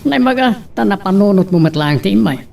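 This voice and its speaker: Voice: mid-range voice, expressive, brisk, gravelly, darkish tone. A performer playing a parent in an Ilocano romantic family audio drama. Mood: teasing. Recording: noticeable background noise.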